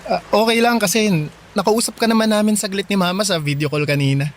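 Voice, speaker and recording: Actor as shy, smitten young suitor, lightish voice. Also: male voice, high-pitched, fairly even intonation, slight background noise, lightly acted. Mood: friendly. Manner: bashful, halting, tender. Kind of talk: Tagalog radio drama acting. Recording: music playing behind the voice.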